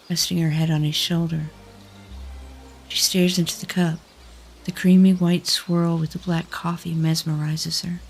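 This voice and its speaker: A woman's voice: on the low side, creaky, low-quality recording. A woman reading scripted fantasy narration as the narrator of an audio drama. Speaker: narrator and lead actor.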